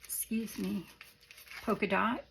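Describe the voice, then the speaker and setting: A hoarse woman showing off craft supplies in a casual show-and-tell, gushing softly and often dropping to a whisper. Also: medium pitch, fairly even intonation, slow pace, slight background noise, low-quality recording. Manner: soft-spoken, gushing, often drops to a whisper. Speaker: craft vlogger.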